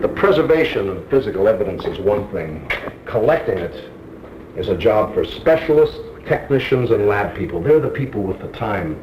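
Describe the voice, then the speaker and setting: A man's voice: on the low side, very animated, normal speed. A man giving instruction in a blunt, wry way, with short punchy phrases. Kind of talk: instructional monologue. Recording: noisy 1970s training film soundtrack.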